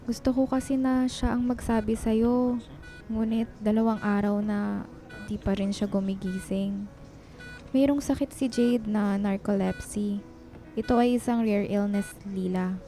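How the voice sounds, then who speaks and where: A woman playing a caring, supportive young friend in a radio drama, speaking in a soft, reassuring way. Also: mid-range voice, fairly even intonation, unhurried, soft background music, close to an everyday voice. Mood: gentle, consoling.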